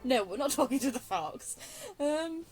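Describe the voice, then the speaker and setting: A woman's voice: high-pitched, animated, measured pace, darkish tone. A woman YouTuber doing casual game commentary in a giggly, self-mocking way, often muttering to herself. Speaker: gaming YouTuber. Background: game music.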